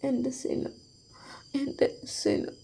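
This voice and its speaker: A woman voicing a weak young woman who is crying in a hospital bed, speaking in a hushed, breathy way. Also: slow, natural voice.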